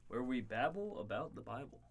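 A man's voice: mid-range voice, sing-song, fairly quick, gravelly. A man in his twenties co-hosting a casual podcast from a dorm room, earnest and explaining at length with fillers. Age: 20s. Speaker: podcast co-host. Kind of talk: casual chat.

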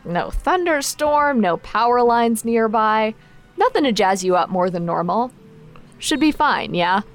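A woman's voice: on the high side, animated, unhurried. An actress playing a confident, supportive young woman in an audio drama, talking in a casual, frank, dry way. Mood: reflective, encouraging. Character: confident, wry, supportive young woman training her friend. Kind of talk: naturalistic audio drama acting.

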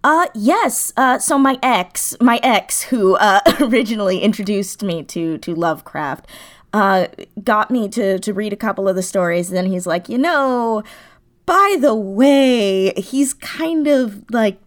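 A woman's voice: medium pitch, expressive, measured pace. A woman being interviewed in a casual, reflective way, thoughtful and often correcting herself as she searches for words. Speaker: audio drama creator being interviewed.

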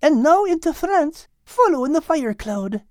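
A man voicing a sweet female dog with a gentle, polite and kindly manner in an audiobook. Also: extremely high pitch, sing-song, unhurried, no room sound, studio quality, pitched above the performer's own voice, full character voice. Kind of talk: animated audiobook character reading.

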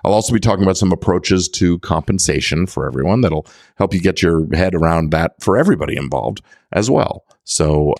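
A man's voice: very deep voice, a little mellow, dry recording, studio quality. A man hosting a podcast, explaining things in a methodical, conversational monologue. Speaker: podcast host giving legal guidance.

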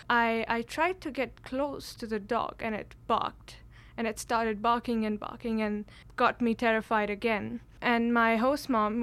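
A woman's voice: a little high, a bit bright, clean tone. A young woman, earnestly telling a personal story with frequent restarts. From Kashmir, India. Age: late teens. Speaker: exchange student.

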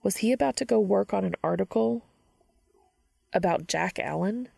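A woman's voice: medium pitch, normal speed, gravelly, mellow, husky, no echo. A woman reading scripted fiction aloud as a narrator.

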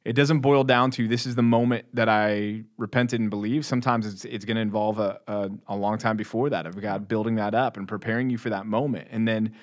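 A man's voice: fairly low pitch, fairly even intonation, fairly quick, few pauses. Easygoing American man co-hosting a podcast. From Texas, United States. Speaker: podcast co-host.

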